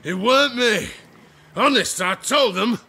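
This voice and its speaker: A gravelly, high-pitched old drunken sailor. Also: male voice, measured pace, bright, forceful tone, full character voice. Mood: terrified. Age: older. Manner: rambling, archaic seafaring dialect. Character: rambling drunken old sailor, broke and regretful. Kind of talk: audio drama acting.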